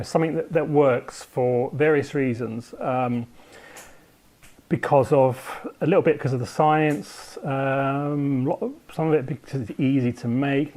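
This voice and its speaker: A man's voice: mid-range voice, darkish tone. A man, a home baker explaining his recipe to camera in a loose, thinking-aloud way with plenty of ums.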